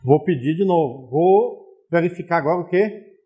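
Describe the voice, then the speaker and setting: Teacher explaining step by step. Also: male voice, a little high, lively intonation, moderate pace, highs cut off. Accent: Brazilian Portuguese. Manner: explains step by step, checks in with viewers. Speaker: programming teacher. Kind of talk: recorded distance-learning lesson.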